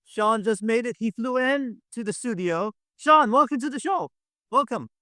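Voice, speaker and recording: A man's voice: very high-pitched, normal speed, pushed and bright, no room sound, studio quality. A man casually hosting a quiz game on a video call, encouraging and often repeating himself. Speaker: quiz show host.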